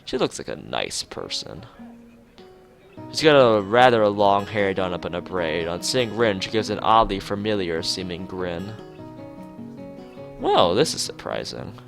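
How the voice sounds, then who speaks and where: A man reading a visual novel aloud as a let's player, casually, with halting, rambling asides. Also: sits low, sing-song, gravelly. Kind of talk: casual game narration with side commentary. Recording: music behind the voice. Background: game music.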